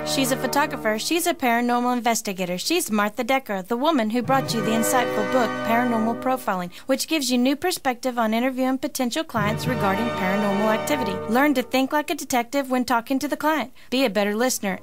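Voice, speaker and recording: Female promo announcer: brisk scripted read, slightly high pitch, music underneath. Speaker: advertisement announcer.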